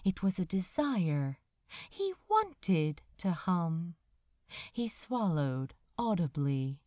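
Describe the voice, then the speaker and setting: A woman reading fiction aloud as a dramatic narrator, expressive and measured, with deliberate pauses. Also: medium pitch, dry recording, clean studio sound. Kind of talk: dramatic scripted audiobook narration.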